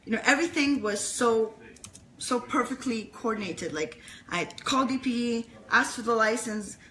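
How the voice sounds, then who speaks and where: A woman's voice: a little high, moderate pace, sharp tone, a little hiss or hum, low-quality recording. A woman speaking as an interview guest, telling a personal story in an earnest, emphatic way with repeated phrases. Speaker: interview guest, fan club founder.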